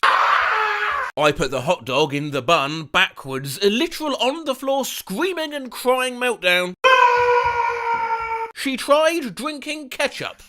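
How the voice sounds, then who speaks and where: Guy in his 30s reading out toddler tantrum stories, high bright voice all over the place. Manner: animated, swings into shrieking toddler voices. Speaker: comedy video creator. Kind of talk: comedic narration of reader stories.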